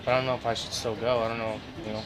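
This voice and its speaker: A man's voice: mid-range voice, little pitch movement, rapid-fire, rough recording. A man who talks about gambling casually and confidently in an office. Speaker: gambling personality.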